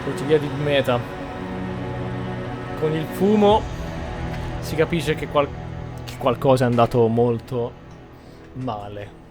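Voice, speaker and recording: A man's voice: medium pitch, measured pace, pauses often, music in the background. A man from Italy giving casual gameplay commentary as a video creator. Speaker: gaming YouTuber.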